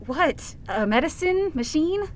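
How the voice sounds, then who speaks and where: A woman plays an earnest reporter in a fiction podcast. She is hesitant and exasperated, with a fairly high, very lively voice. A faint rumble runs behind her. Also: a little slow. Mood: probing. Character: earnest, flustered good-cop woman reporter. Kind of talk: audio fiction podcast acting.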